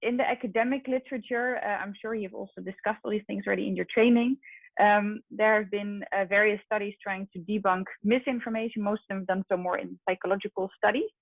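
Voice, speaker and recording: A woman's voice: mid-range voice, steady tone, quick pace, vocal fry, dry recording. A woman researcher presenting a study in an explanatory academic lecture, recorded through an online call. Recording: narrow phone-like online call audio.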